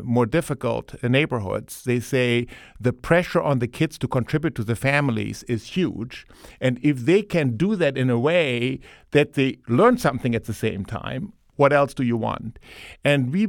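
Man, earnest and halting. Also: medium pitch, vocal fry, no room sound, studio quality. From Germany. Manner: earnest, thinks aloud with many fillers. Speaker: panel guest, manufacturing advocate. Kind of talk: panel discussion.

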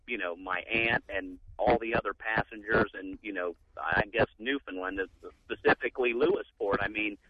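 A man calling in to a radio show, speaking haltingly with many fillers. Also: lowish voice, highs cut off, over a noisy crowd. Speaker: caller. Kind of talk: call-in radio talk.

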